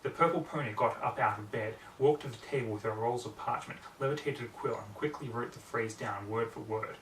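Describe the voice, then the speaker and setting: A man's voice: mid-range voice, a little fast, fairly bright tone. A man reading scripted fiction aloud as an amateur audiobook narrator. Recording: roomy, distant mic, faint background noise.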